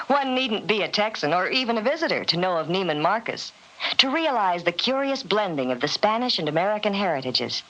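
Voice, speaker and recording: A woman's voice: fairly low pitch, a bit bright. A woman reading a scripted promotional narration in a vintage radio spot. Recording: dull vintage radio recording.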